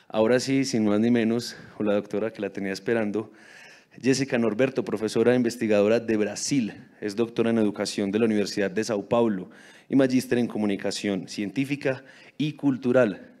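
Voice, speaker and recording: A man's voice: on the low side, a little mellow. A man hosting a conference session, reading a formal, prepared introduction of the next speaker. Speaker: event host, moderator. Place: planetarium auditorium.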